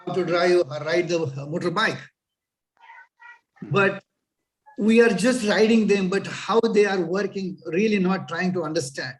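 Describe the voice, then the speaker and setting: Middle-aged Indian man, Marathi native, lecturing on a call; high voice, a bit far from the mic. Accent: Indian English. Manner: earnest, advising, many fillers. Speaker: guest lecturer.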